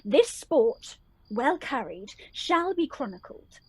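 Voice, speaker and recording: A woman acting a young heroine of a Shakespeare radio play, high-voiced and closely recorded with little room sound. She declaims verse with wounded indignation, reproachful as one betrayed by her friends. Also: sing-song, slow, darkish tone, breathy edge, no room sound, exaggerated voice. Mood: bitter, aggrieved.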